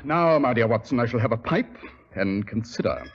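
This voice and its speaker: An actor playing a shrewd, commanding English gentleman detective with a British accent and clipped delivery in an old radio mystery. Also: mid-range voice, lively intonation, normal speed, husky, soft background music. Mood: wry, genial. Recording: dull, muffled 1940s radio recording.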